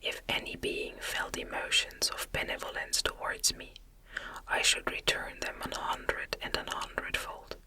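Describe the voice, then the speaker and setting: A woman voicing a miserable, vengeful, articulate man-made monster in a breathy, unvoiced whisper, for a gothic audiobook. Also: a little slow, close to an everyday voice, rough recording. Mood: restrained pleading.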